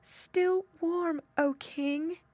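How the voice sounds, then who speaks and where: A woman's voice: sits low, very animated, extremely slow, dry recording, heavily acted. Woman playing a meek, trembling young maiden. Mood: faint, freezing. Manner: soft, meek, gasping with cold. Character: gentle, trembling, courteous young maiden, freezing cold.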